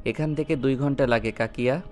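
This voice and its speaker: A man's voice: mid-range voice, fairly quick, darkish tone, soft background music, lightly acted. A man playing a defiant, protective young man of a wealthy household in an audio drama, speaking in a firm, cutting, commanding way. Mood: curt. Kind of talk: Bengali audio story acting.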